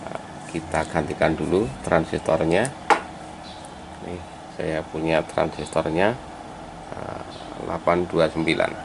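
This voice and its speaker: Low, slow, gravelly man giving a tutorial. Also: flat delivery. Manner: step-by-step, halting as he works, addressing viewers as friends. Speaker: electronics repair hobbyist presenting a tutorial. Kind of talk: informal hands-on tutorial narration. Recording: clearly noisy amateur recording.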